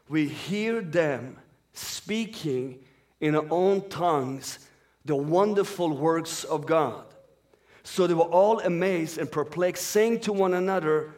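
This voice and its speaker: Man, native Farsi speaker, guest preacher, earnest flowing sermon, slightly high pitch. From born in Iran, raised in Sweden.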